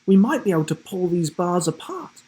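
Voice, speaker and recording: A man's voice: sits high, very animated, moderate pace, mellow, voice pushed up. Man voicing a plucky, confident storybook girl. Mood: thoughtful.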